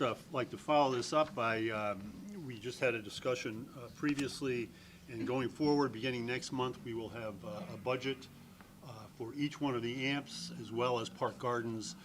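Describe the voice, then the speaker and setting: A man giving a treasurer's report at a board meeting, speaking haltingly with many fillers. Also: medium pitch. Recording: noisy meeting room recording.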